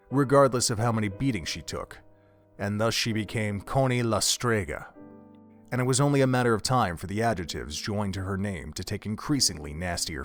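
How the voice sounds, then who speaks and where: A man narrating an audio drama from a script, telling a story in the third person. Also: fairly low pitch.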